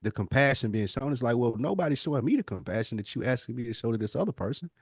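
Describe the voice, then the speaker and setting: A man's voice: mid-range voice, expressive, fast talker, breathy edge, no echo, clean studio sound. An American man in casual podcast conversation, speaking emphatically in slang and acting out imagined dialogue. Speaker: podcast co-host.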